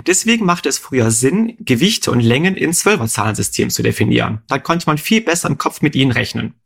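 Erklärender Podcast-Moderator, geduldig fragend. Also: male voice, mid-range voice, animated, fairly quick, vocal fry, fairly bright tone, slightly rough. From Rhineland, Germany. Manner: patient, quizzes his co-host with questions. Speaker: podcast co-host explaining numbers. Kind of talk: explanatory conversational chat.

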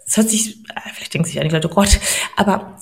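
A middle-aged woman from Poland, a guest on a podcast, talking in an earnest, encouraging way and slowing down to stress her points. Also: fairly low pitch, gravelly. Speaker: podcast guest, money coach. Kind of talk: motivational podcast talk.

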